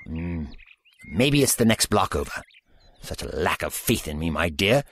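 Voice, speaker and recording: An actor playing an eccentric, absent-minded old time traveler with fussy, courtly phrasing in an audio drama. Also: male voice, deep voice, very animated, a little slow, fairly bright tone, breathy edge, heavily acted. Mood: fussy, breezy.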